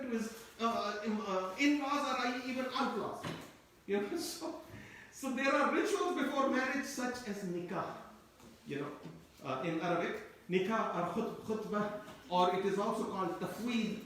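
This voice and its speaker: A man's voice: very high-pitched, a bit bright. Male Turkish-native lecturer, rambling explanatory delivery, distant mic, roomy echo, faint background hiss. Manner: discursive, repeats and restarts phrases. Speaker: Quran teacher. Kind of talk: tafseer lecture. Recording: echoey room, mic at a distance.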